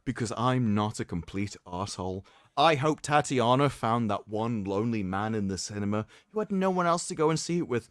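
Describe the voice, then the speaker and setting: A man's voice: lowish voice, dry recording, clean studio sound. A man hosting a stream, giving a sarcastic, emphatic dramatic reading with mocking commentary. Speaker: streamer host.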